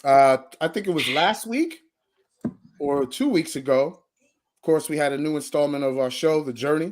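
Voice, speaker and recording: A man's voice: a little high, expressive, normal speed. A man co-hosting a casual basketball talk show, upbeat and welcoming, thanking listeners and bringing on a guest. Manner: upbeat, talks over his co-host, says thank you often. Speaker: co-host. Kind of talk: casual podcast chat.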